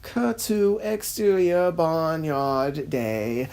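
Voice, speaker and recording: Slow, creaky-voiced, pompous woman narrator. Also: low voice, expressive, a little mellow. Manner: pompous, snobby, theatrical. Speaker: narrator and host. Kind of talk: dramatic scripted narration of a screenplay.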